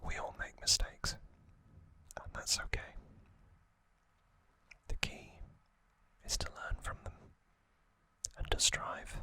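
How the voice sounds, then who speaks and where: A man hosting ASMR, narrating in hushed whispers with frequent pauses and a gentle, reassuring manner. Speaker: ASMR host.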